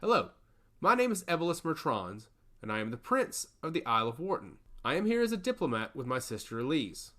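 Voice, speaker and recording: Man playing a flirtatious prince with lively pitch. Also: a little fast. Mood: courteous, eager.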